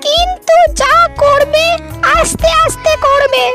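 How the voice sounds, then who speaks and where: A performer voicing a sassy young wife and daughter-in-law in a comic cartoon, coy and scolding through a squeaky pitch-shifted voice. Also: steady tone, takes it slowly, sharp tone, heavily acted. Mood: coy, exasperated. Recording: music clearly behind the voice.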